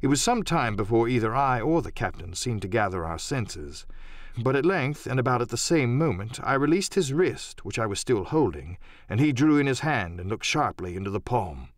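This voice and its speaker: Animated male audiobook narrator. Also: mid-range voice, moderate pace, no echo, clean studio sound. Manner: theatrical, expressive. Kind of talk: dramatic scripted storytelling narration.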